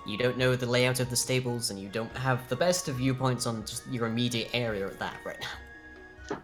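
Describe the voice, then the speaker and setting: A man narrating a tabletop role-playing game as dungeon master in measured, wordy phrasing. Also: mid-range voice, a bit bright. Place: home room, online video call. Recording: music behind the voice.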